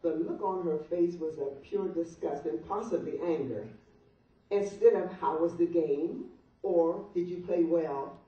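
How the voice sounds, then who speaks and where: A woman's voice: on the low side, a little slow, mellow. Older woman reading aloud, very echoey. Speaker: guest reader at a birthday party. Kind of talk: reading a humorous open letter aloud to guests. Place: large echoey room at a birthday party. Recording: muffled, very echoey room, distant mic, noise.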